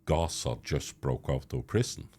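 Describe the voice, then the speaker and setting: A man's voice: rumbling low, lively intonation, a little mellow, breathy edge. A man hosting a podcast interview, speaking English with many restarts and little chuckles. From Norway. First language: Norwegian. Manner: halting, many restarts, chuckles mid-sentence. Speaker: podcast host. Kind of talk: conversational interview.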